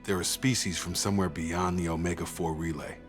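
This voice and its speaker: A man playing a steady, pragmatic soldier squadmate in a video game, with a matter-of-fact manner. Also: low voice, brisk, soft background music, lightly acted. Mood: explaining.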